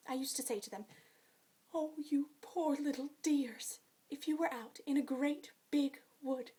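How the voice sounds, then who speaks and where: A woman's voice: high voice, lightly acted. A woman performing a dramatic monologue as a talkative, starry-eyed young orphan girl, effusive and dreamy in a theatrical way. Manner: effusive, dreamy, theatrical, swinging between gushing and wistful.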